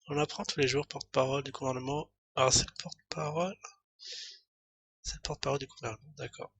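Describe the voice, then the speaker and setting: A man's voice: medium pitch, a bit bright. A man streaming, reading a news article aloud and rambling through it with lots of asides. He has a slight head cold and his throat is starting to go. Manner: rambling, thinks aloud with many fillers and asides.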